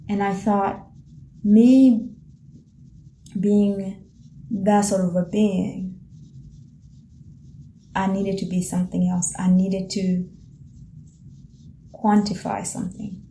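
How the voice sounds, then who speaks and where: A Tanzanian-born woman whose first language is Swahili talks through her reflections in a calm, mellow voice, pausing now and then to find her words. Her tone is gently encouraging. The room is noticeably echoey, with a faint ambient hiss underneath. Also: medium pitch. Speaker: vlogger. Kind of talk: reflective personal talk to camera. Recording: roomy echo with faint ambient noise.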